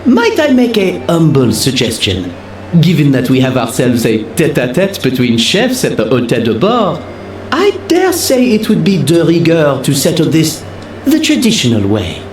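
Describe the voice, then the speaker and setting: An ornate, genteel gentleman from a video game. His voice swoops extravagantly and sits slightly high. It rings in a roomy space with faint noise behind it. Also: huge pitch swings, moderate pace. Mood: gleefully theatrical. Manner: florid, theatrical phrasing. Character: flamboyant, genteel older male hotel gentleman, jovial and ornate.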